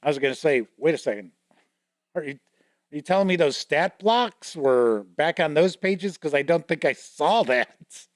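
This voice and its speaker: A man's voice: on the high side, animated, moderate pace, no room sound, studio quality. A man hosting a tabletop gaming show, casually flipping through a book, reading entries aloud and commenting, joking about his own mispronunciations. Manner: chatty, reads entries aloud and comments on them. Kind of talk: casual product walkthrough.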